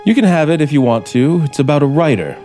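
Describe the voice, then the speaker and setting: An actor playing an embittered, desperate scriptwriter with a gun, slow and menacing in 1950s radio suspense style. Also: male voice, a little high, lively intonation, warm tone, close to an everyday voice. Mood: bitter, brooding. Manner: menacing, slow and deliberate, dramatic pauses. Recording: 1950s radio broadcast, music behind.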